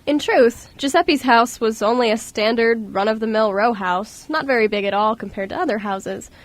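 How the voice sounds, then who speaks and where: High-voiced woman narrating a story. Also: normal speed. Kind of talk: scripted storybook narration for amateur radio drama.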